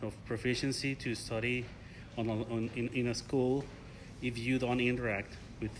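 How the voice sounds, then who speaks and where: Mexican-accented young man, halting speech. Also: medium pitch, level tone, a little hiss or hum. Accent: Mexican Spanish. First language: Spanish. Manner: halting, many fillers and restarts. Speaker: interview guest, ESL learner. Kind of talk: casual interview answers.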